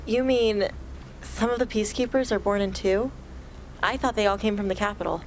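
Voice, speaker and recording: A woman's voice: mid-range voice, moderate pace, close to an everyday voice, low-quality recording. A woman playing an earnest, guarded teenage girl soldier in an amateur radio drama. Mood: questioning. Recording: hobby recording with ambient noise.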